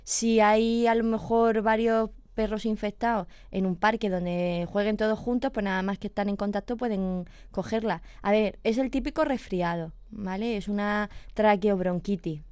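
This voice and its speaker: Patient woman veterinarian, smooth voice. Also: mid-range voice, moderate pace, few pauses, darkish tone, no echo. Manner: patient, explains step by step, checks with "¿vale?". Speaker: veterinarian guest. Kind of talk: explanatory radio chat.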